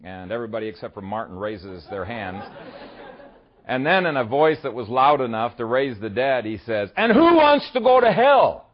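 A man from Ethiopia preaching a sermon, conversational and easygoing, often pausing with "uh" as he tells a story and explains scripture. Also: mid-range voice, expressive, highs cut off, a faint crowd. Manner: conversational storyteller, frequent "uh" fillers. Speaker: pastor. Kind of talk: sermon with a humorous opening story.

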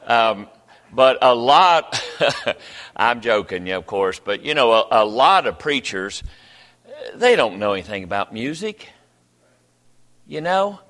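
A man's voice: mid-range voice, very animated, slow, pauses often, fairly bright tone, long reverb tail. An older man, a pastor telling stories from the pulpit in a folksy, rambling way with many restarts. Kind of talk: sermon. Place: church sanctuary.

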